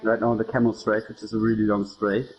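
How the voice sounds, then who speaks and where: A man's voice: lowish voice, little pitch movement, fast, darkish tone, soft background music, poor audio. A man from Germany with a German accent giving casual play-by-play of a sim race. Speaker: lead commentator. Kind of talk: casual sim racing commentary.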